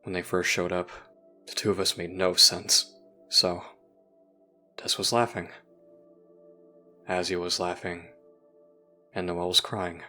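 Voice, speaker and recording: An actor playing a brooding, guilt-ridden young person recounting painful memories in a somber, halting audio drama monologue. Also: male voice, sits low, flat delivery, pushed and bright, soft background music, full character voice. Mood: wry, subdued.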